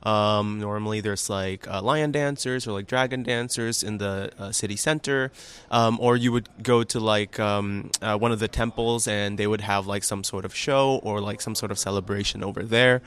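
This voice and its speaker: A man's voice: on the low side, steady tone, moderate pace, a bit bright, clear, resonant tone. A young man from Indonesia, a graduate student, chatting in a casual interview in a friendly, easygoing way. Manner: friendly, easygoing, with many ums and laughs.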